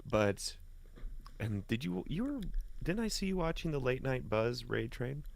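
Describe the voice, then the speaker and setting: A man from the United States talking casually as a guest, telling anecdotes in a laid-back, colloquial way with pauses mid-thought. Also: medium pitch, big pitch swings, creaky. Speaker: guest musician and voice talent. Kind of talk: casual chat, personal anecdotes.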